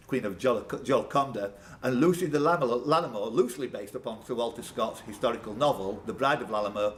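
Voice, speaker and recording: A man hosting a live pub quiz, reading out questions and bantering with players by name. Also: mid-range voice. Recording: clear background noise, live room. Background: room ambience and noise.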